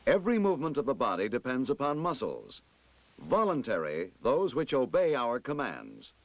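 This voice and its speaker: A man narrating a scripted 1960s military instructional film in a formal, emphatic, measured manner. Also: mid-range voice, wildly swooping pitch.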